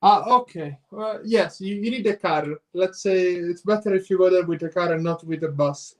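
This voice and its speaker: A man's voice: high voice, moderate pace. A man hosting a live call-in stream, friendly and affirming, laughing often with callers. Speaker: host.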